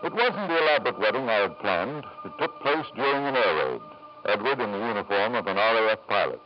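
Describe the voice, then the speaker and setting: A man's voice: lowish voice, sing-song, brisk, a little hiss or hum. An actor playing a middle-aged lord in an old-time radio drama, urbane and insistent, hemming as he persuades. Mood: sombre narrating. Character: smooth, domineering wealthy lord and father. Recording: muffled 1940s-50s radio recording.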